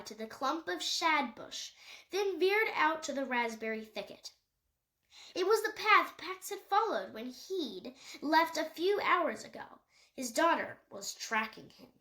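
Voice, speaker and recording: A woman's voice: high-pitched, sharp tone. A woman reading a children's animal novel aloud as scripted storybook narration. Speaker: audiobook reader.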